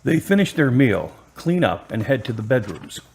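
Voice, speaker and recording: A man narrating a scripted radio drama with a husky voice. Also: medium pitch, big pitch swings, normal speed, a little mellow.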